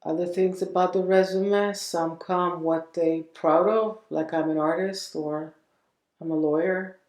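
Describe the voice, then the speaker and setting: A woman leading a guided meditation in a gentle, unhurried manner, leaving long pauses between phrases. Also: lowish voice, fairly even intonation, reverberant.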